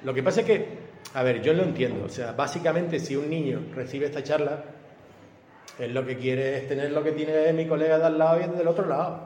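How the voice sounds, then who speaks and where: A man's voice: fairly high pitch, steady tone, fairly quick, darkish tone. A man in his fifties, a police officer who gives talks in schools, pressing a young guest with pointed, leading questions in a debate. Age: 50s. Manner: challenging, leading questions, emphatic. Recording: echoey hall with faint noise.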